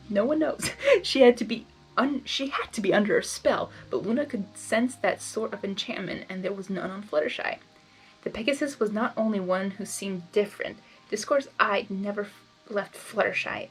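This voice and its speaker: A woman reading fan fiction aloud in expressive storybook narration, with informal asides. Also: mid-range voice, poor audio.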